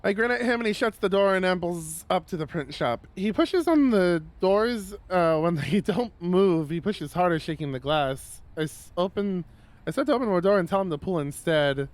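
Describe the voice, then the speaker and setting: A man with a somewhat high voice reads a visual novel aloud in a casual streaming style. He is expressive and conversational, slipping easily between narration and rambling personal asides. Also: normal speed, no room sound.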